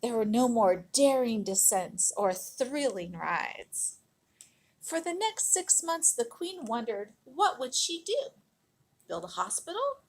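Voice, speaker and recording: Animated, high-pitched woman reading a storybook. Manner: dramatic, emphatic storytelling with drawn-out words. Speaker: storybook reader. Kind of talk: expressive read-aloud of a children's picture book.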